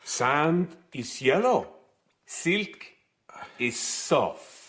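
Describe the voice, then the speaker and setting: An actor playing a relentless, methodical male interrogator who chants short phrases deliberately in a radio drama. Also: on the high side, very animated, fairly bright tone, heavily acted. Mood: cold, insistent. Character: relentless, methodical foreign interrogator drilling a prisoner.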